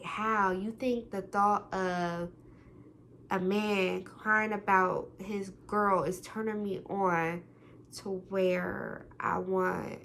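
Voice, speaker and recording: A young woman telling a story to the camera, talking loudly and acting out what people said. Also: medium pitch, a little hiss or hum. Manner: loud, animated, quotes people in different voices. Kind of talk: casual storytime monologue.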